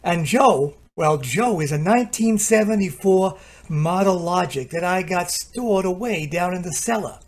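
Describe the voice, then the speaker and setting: A man's voice: high-pitched, animated, unhurried. A man playing a cocky repairman in an old-time radio drama, talking in a casual, slangy, wisecracking way. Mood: confiding, wry. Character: breezy, cocky male repairman hero and storyteller.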